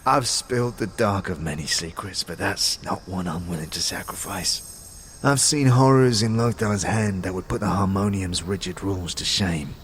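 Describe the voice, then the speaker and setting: An actor playing a talking skull, a dead anarchist who is bitter, conspiratorial and speaks in streetwise slang, in a fantasy audio drama. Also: male voice, low-pitched, moderate pace, a little hiss or hum, lightly acted. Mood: curt, defiant. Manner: streetwise slang, scornful, guarded.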